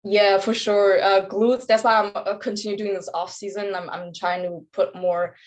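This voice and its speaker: A woman's voice: mid-range voice, flat delivery, moderate pace, dull audio. A young woman from Germany with a German accent, chatting casually as an interview guest and athlete. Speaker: interview guest, competitive bodybuilder.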